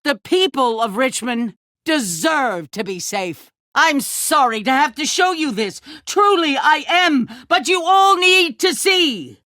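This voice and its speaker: Calculating woman leader, lively high pitch. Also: dry recording, studio quality, heavily acted. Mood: rousing, accusing. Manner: measured, condescending, politician-like. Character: calculating, self-righteous woman leader of a survivor community. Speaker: voice actor in a video game. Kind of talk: dramatic video game voice acting.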